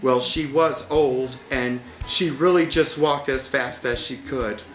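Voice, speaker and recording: A man reading a children's story as scripted narration, with a wry, deliberate delivery. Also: mid-range voice, steady tone. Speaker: audiobook reader. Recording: roomy sound with music behind.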